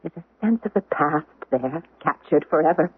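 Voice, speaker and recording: A wistful young widow, with a widely swinging, slightly husky voice. Her delivery is hesitant and dramatic. It comes through the narrow, muffled sound of a 1970s radio drama broadcast. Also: deep voice, expressive, normal speed, dry recording, heavily acted. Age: young adult. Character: grieving young widow, a wistful history teacher. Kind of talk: old-time radio drama acting.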